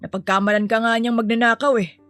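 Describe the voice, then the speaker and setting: A woman's voice: fairly high pitch, steady tone, fast talker, fairly bright tone, no echo, faint music behind, lightly acted. A woman voicing a frank, matter-of-fact male butler in a dramatised story. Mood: blunt.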